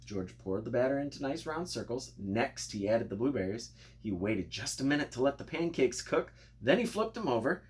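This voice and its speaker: A man narrating a children's storybook in an expressive, playful way, speaking directly to young listeners. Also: medium pitch, moderate pace, hardly any pauses. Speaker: storybook reader.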